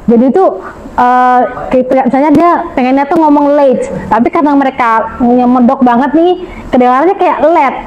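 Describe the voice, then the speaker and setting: A woman's voice: high-pitched, moderate pace, darkish tone, muffled recording, a little hiss or hum. An Indonesian woman speaking as a podcast guest, chatting and telling stories, often addressing the host as "Kak" and mixing in English words. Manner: chatty, storytelling, often adds "Kak" to her sentences. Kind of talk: casual podcast chat.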